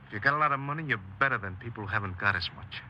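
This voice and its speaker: A man's voice: medium pitch, expressive, very fast, lightly acted. An actor playing a cold, embittered young man confessing his crimes in a 1950s radio crime drama, speaking tersely and guardedly. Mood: cold, curt. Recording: noisy, muffled 1950s radio broadcast.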